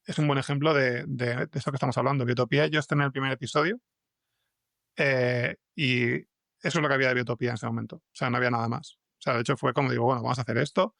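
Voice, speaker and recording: A man with an accent from Spain telling anecdotes as an interview guest, in a halting way with many restarts. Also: mid-range voice, steady tone, gravelly, fairly bright tone, dry recording, studio quality. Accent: Spanish (Spain). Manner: halting, restarts sentences often. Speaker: podcast fiction writer, interview guest. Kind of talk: conversational interview, anecdotal storytelling.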